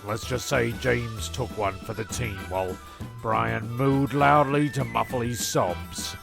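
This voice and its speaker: A man narrates a comedy serial in a gravelly, mid-pitched voice with music behind him. His delivery is tongue-in-cheek and stumbling, often repeating phrases. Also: measured pace.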